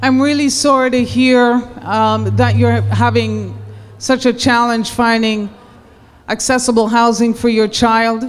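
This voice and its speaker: A woman candidate answering at a public debate, sympathetic and earnest, with some halting restarts. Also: fairly high pitch, big-room sound. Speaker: political candidate. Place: public meeting hall.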